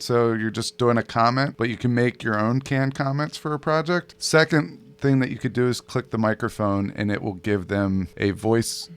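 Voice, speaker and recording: A man hosting a podcast with a slow, low way of talking, emphatic about his points. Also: smooth. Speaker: podcast host. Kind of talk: casual podcast discussion.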